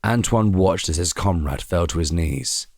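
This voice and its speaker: A male narrator reads a dramatic scripted tale. His voice is very low, and it is recorded dry, with hardly any room sound. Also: normal speed, darkish tone, no echo.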